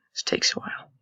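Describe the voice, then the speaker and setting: A young man with a raspy voice, casually commenting and thinking aloud while browsing old web pages on a screen recording. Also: bright, forceful tone, dry recording. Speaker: channel host. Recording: dull lo-fi dry recording.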